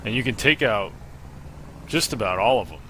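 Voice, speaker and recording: American man, deep voice, casual walkthrough commentary, explanatory, plain-spoken, game noise behind. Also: lively intonation, normal speed, fairly bright tone, low-quality recording. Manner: matter-of-fact, explanatory. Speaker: video game walkthrough narrator. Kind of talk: casual instructional gameplay commentary.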